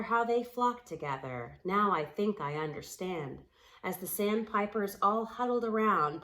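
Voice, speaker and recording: A woman reads a storybook aloud in a fairly low voice. She takes her time over each phrase, very slowly and deliberately, giving the poetic lines an expressive, recited shape. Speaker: storybook reader. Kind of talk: storybook read-aloud in rhyming, poetic prose.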